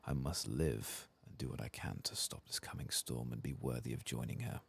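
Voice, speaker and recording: A man voicing a weary, grieving old elf in a fantasy game, soft-spoken, solemn and formal. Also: a bass voice, moderate pace, vocal fry, slightly rough, heavily acted. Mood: remorseful. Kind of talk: soft-spoken game dialogue read-aloud.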